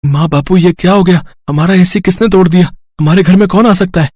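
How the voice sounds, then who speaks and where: A man voicing an earnest, hardworking poor village boy in an animated moral story, speaking plainly and reassuringly. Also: sits high, a little fast, no room sound, clean studio sound. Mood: shocked.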